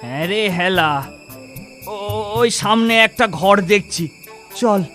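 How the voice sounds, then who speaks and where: A performer playing a poor, cautious male wanderer in a children's cartoon fairy tale, with an anxious, halting manner. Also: mid-range voice, music in the background, close to an everyday voice. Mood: earnest.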